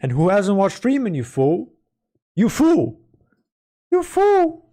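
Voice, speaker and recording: A man streaming and chatting casually with his viewers in a rambling, playful, mock-dramatic way, his voice hoarse from a sore throat. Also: high voice, wildly swooping pitch, slow, dark tone. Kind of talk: casual livestream chat with viewers.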